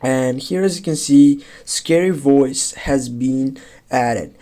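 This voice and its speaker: A tutorial host giving step-by-step how-to narration in halting, non-native phrasing. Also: medium pitch, mellow.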